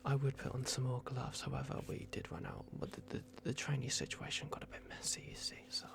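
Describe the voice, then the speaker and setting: Picture a performer playing a bumbling vampire doctor in an ASMR roleplay, murmuring in a creaky whisper. The delivery stays flat and hushed, full of hesitations and repeated little reassurances. It is soft-spoken and close to an everyday voice, aimed gently at a patient. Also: mid-range voice, fairly quick. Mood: calm, instructing.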